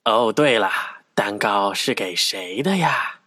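Man playing a breezy, animated storybook wizard. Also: lowish voice, slow, full character voice. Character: breezy, obliging male wizard of a storybook street. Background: music.

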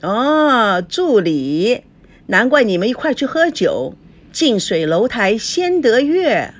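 A jealous, hot-tempered young wife played by a woman narrator in an audiobook drama. Her voice sits high and swings widely as she accuses and scolds with biting sarcasm. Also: very animated, slow pace, heavily acted. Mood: sarcastic.

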